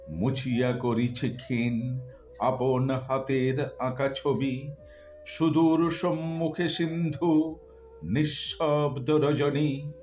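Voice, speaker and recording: A man recites poetry in a solemn, dramatic style, measured and contemplative, with deliberate pauses. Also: mid-range voice, darkish tone. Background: background music.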